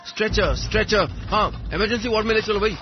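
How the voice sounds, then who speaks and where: An urgent, harried man in a hospital emergency, acted out by a storyteller. His voice is pushed high and he barks short calls as in an audio drama. The sound is slightly dull, with music behind. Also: quick pace, natural voice. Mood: frantic. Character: harried, shouting male hospital staff and bystanders in an emergency.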